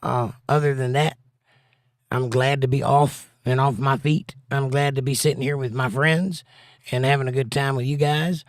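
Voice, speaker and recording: A man hosting a casual livestream, reading viewer comments aloud with jokes and silly bits, his voice a little hoarse and scratchy. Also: medium pitch, steady tone, normal speed, no room sound, clean studio sound. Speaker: livestream host reading chat.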